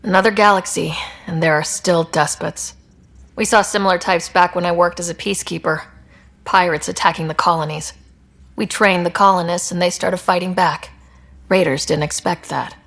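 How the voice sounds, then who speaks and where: A young woman leading a space expedition in a video game. Her voice sits fairly low with a gravelly fry at the edges. She sounds steady and earnest, like a former peacekeeper. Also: normal speed. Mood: serious.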